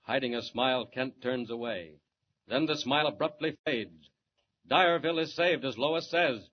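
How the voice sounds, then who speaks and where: A man's voice: mid-range voice, moderate pace. A man narrating a scripted 1940s radio serial in an urgent, declamatory, dramatic manner. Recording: muffled, noisy old radio recording.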